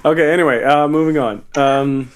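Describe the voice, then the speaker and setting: A man's voice: a little high, normal speed, background noise. A man hosting a casual group Q&A, informal and given to swearing as he reads questions and answers them. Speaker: music producer hosting a Q&A.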